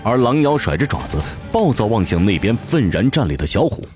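A man delivers dramatic scripted fantasy narration. His mid-range voice rises and falls with great animation. Music plays clearly behind him throughout. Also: medium pitch, big pitch swings, a little fast.

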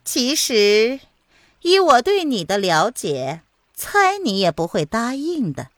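A woman's voice: on the high side, big pitch swings, slow pace, no echo, clean studio sound, voice pushed up. A woman voicing a cold, mocking and commanding martial-arts villainess in a dramatised audiobook. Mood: coolly mocking.

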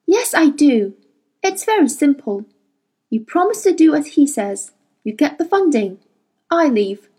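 A woman's voice: high-pitched, big pitch swings, a little slow, dark tone, voice pushed up. A woman voicing an indignant, principled woman scientist who argues heatedly. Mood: bitterly sarcastic.

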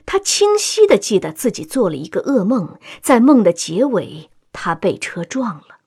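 A woman's voice: mid-range voice, huge pitch swings, normal speed, darkish tone, slightly rough. A woman reading scripted dramatic narration for an audio drama.

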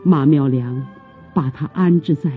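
A man narrating a radio drama in a grave, hushed, deliberate way, with a breathy voice. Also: a little high. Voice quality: breathy, husky. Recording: tinny recording with music.

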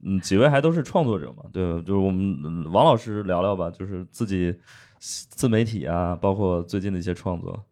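A man's voice: low voice, brisk, a little mellow. A man hosting a casual panel chat, with a joking, teasing way of talking. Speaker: podcast host.

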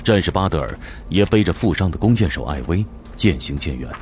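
A man's voice: low voice, animated, brisk, darkish tone, slight background noise. A man playing a solemn storyteller, giving dramatic scripted narration of a fantasy audio drama.

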